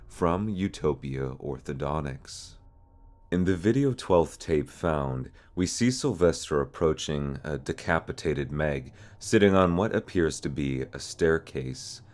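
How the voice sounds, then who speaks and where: A man hosting an explainer series in a semi-scripted narration style. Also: rumbling low. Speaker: host of an analog horror explainer series.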